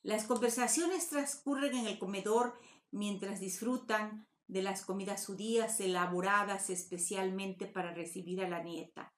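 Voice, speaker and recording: An older woman with a Mexican Spanish accent, reading a prepared conference paper in a measured, formal way over a video call from her home study. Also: mid-range voice, fairly even intonation. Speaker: academic conference speaker. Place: home study with bookshelves, indoors. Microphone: webcam on video call. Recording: roomy echo.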